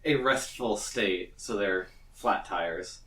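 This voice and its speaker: Slow, low-voiced male game master. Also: pushed and bright, echoey room, recorded from a distance. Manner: measured, descriptive. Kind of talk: narrating a tabletop game.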